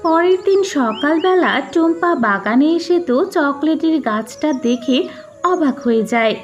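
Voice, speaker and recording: A woman's voice: high-pitched, lively intonation, a little slow, faint music behind. A woman giving scripted narration of a cartoon fairy tale as the storyteller.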